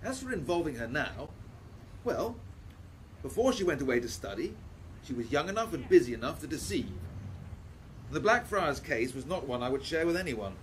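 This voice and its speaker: An actor playing a proper, protective Victorian gentleman professor in a radio play, speaking formally and earnestly. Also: on the high side, big pitch swings, moderate pace, pauses often, slight background noise, low-quality recording. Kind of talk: steampunk radio play acting.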